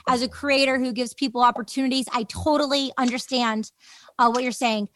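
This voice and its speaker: A woman's voice: high voice, fairly even intonation, brisk, a bit bright. A woman interviewing in a casual, reflective podcast style, effusively affirming and reflecting back what she hears.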